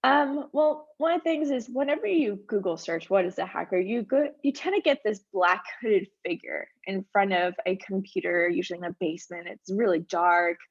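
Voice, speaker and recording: A woman's voice: mid-range voice, moderate pace, hardly any pauses, slightly rough, no echo, dull audio. A woman giving an upbeat, chatty webinar talk, her voice a little strained by allergies. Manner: upbeat, conversational, chatty asides. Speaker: conference speaker. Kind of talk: webinar presentation.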